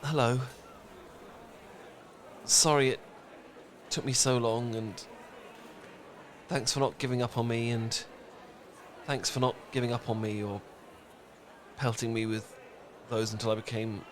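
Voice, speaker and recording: A man's voice: mid-range voice, fairly even intonation, unhurried, long pauses, a little hiss or hum, pitched below the performer's own voice, lightly acted. A man with a British accent playing a flustered, lovestruck best friend in a romantic comedy audio roleplay, stammering and earnest. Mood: tender, relieved. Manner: stammering, rambling, earnest confession.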